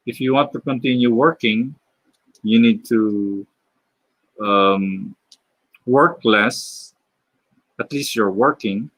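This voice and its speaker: A man from the Philippines with a Filipino accent, chatting casually on a live stream while drawing, halting, with many fillers and restarts. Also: mid-range voice, a bit bright, no echo. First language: Filipino (Tagalog). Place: home.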